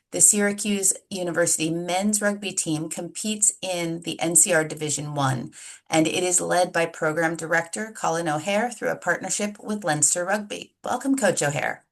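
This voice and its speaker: Woman host, lowish voice, reads the intro nice and even. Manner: smooth, even reading. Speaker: interviewer. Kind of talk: scripted introduction and interview questions.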